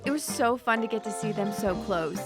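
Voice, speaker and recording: A woman's voice: medium pitch, fairly quick. Woman narrating a promo over prominent music. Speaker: TV presenter. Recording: prominent music bed behind voice.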